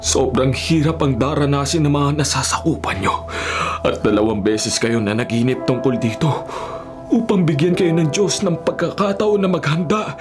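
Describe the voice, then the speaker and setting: An actor playing a humble, devout Hebrew dream interpreter in a respectful, earnest radio drama style. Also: male voice, on the high side, normal speed, natural voice. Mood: solemn, earnest. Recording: radio drama over clear background music.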